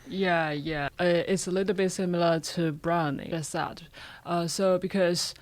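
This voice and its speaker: A man's voice: high-pitched, fairly even intonation, unhurried, no room sound. Hesitant non-native English-speaking man. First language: not English. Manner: careful, hesitant, many "uh"s and restarts. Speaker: interview guest, university graduate. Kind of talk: casual conversational answer.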